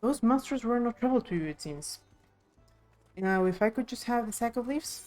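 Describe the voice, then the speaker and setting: A woman's voice: a little high, animated, moderate pace, darkish tone, faint music behind. A woman reading video game dialogue aloud expressively on a live stream.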